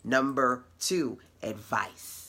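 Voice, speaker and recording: A middle-aged woman with a deep voice, hosting a casual online web class and encouraging her audience in a conversational, playful way. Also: drawn-out words, a bit bright. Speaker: web class host and business coach.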